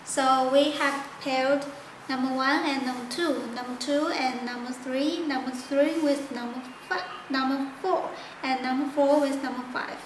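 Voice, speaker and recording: Woman demonstrator, high voice, halting non-native phrasing, step-by-step instructions, echoey noisy recording. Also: steady tone. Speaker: product demonstrator. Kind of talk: step-by-step tutorial narration. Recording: echoey room with loud background noise.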